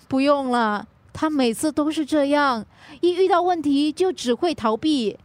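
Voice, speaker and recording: A woman's voice: sits high, measured pace, no room sound. An actress playing an earnest, easily wounded wife in her thirties in a radio drama, with emotive, pleading delivery. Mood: weary, aggrieved. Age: 30s.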